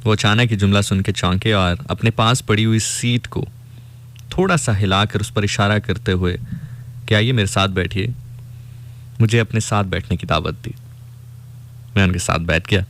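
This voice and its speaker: A man's voice: on the low side, moderate pace, long pauses, a bit bright. A man with a Pakistani accent, a radio host telling a story conversationally and reflectively, dropping in English phrases.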